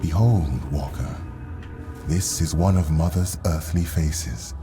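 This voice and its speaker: A portentous male guide from a fantasy game, speaks reverently in an extremely deep voice. He is very slow and ceremonial, with music behind him. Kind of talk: dramatic fantasy video game acting.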